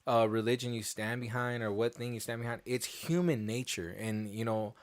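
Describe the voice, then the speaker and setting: A man's voice: mid-range voice, fairly even intonation, normal speed, no room sound. Laid-back young man, dry close sound. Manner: laid-back slangy talk, swears freely. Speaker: podcast co-host. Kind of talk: casual chat.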